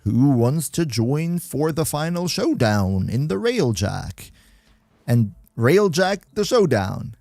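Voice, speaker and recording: A young man with a German accent hosts a gaming livestream and keeps up an easygoing, lively chat with his viewers. His pitch swings up and down a lot as he talks, and the recording is dry and close, with no room sound. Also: slow pace, vocal fry, no echo. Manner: chatty, reads and answers chat, laughs often. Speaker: livestream host.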